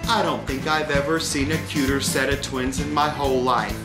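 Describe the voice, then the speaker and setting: A man's voice: mid-range voice, fairly even intonation, moderate pace, echoey room, over music, close to an everyday voice. A man voicing a kindly, gullible old woman in a storybook, with a gushing, exclamatory manner. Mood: delighted, curious. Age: older.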